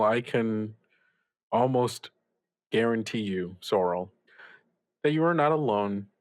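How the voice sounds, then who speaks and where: A man playing a reassuring older radio mentor in an improvised audio drama, giving gentle advice in a halting, rambling way. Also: fairly low pitch, no room sound, natural voice. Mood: encouraging. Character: reassuring older male radio mentor, upbeat, evasive about troubles. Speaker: student radio show host. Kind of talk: improvised actual-play audio drama, conversational.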